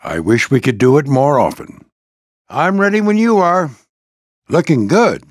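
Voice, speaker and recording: An older American man voicing a proud, gruff old military plane who mentors a squadron in a video game, with a gravelly voice and a commanding, folksy manner. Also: a little high, big pitch swings, unhurried, no room sound, studio quality. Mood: encouraging, approving. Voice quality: gravelly, husky. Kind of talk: scripted video game character lines.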